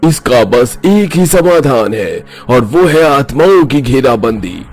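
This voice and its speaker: A man's voice: medium pitch, very animated, slow pace. A man voicing a grave, instructive village holy man in a cartoon horror story. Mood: solemn reassurance. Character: solemn village holy man who battles spirits. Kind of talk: Hindi animated story dub. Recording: music under the voice.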